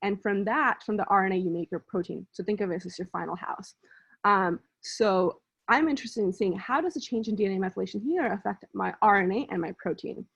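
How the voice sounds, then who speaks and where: A woman's voice: medium pitch, fairly quick, creaky, slightly rough. A young adult woman from Bangladesh, a research scientist telling her personal story in a conversational, explanatory way and walking through ideas step by step. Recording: slightly muffled lo-fi recording.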